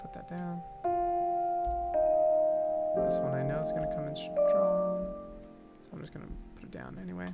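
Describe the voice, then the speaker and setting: A man's voice: high voice, normal speed, creaky, pauses often, dull audio. A man casually teaching music production on a live stream, thinking aloud and muttering short asides to himself as he works. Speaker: music composer and streamer teaching game music writing. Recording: loud instrumental music over the voice. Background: loud instrumental game music being mixed, playing during talk.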